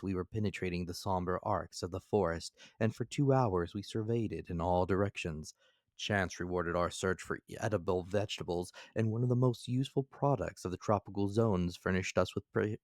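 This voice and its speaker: A man reading classic adventure fiction as a dramatic audiobook narrator, in a measured, deliberate manner. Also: low-pitched, a little mellow, no echo, studio quality.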